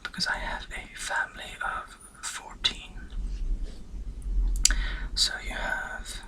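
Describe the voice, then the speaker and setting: A man's voice: slow, bright, forceful tone, low-quality recording. A man in his twenties from Norway, whispering a rambling, self-deprecating life story for an ASMR video. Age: 20s. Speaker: ASMR channel host.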